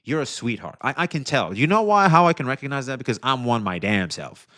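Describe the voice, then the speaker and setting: A male streamer giving animated, profane commentary, speaking straight to his chat. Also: medium pitch, brisk.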